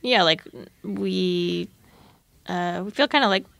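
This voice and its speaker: A woman's voice: fairly low pitch, takes it slowly, gravelly, a bit bright, dry recording. A woman being interviewed, talking informally and tentatively about her work, often correcting herself. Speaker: interview guest, audio fiction producer. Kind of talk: casual interview chat.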